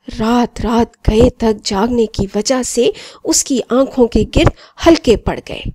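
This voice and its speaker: A woman voicing a friendly, boastful talking mobile phone that narrates and moralizes to children in an expressive storybook style. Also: sits high, dry recording. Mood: concerned recounting.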